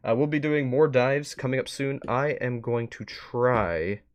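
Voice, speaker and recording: A man hosting a livestream in a casual chatty style, rambling a bit as he thinks out loud. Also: mid-range voice, measured pace, muffled recording. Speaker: streamer and host. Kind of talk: casual livestream wrap-up chat.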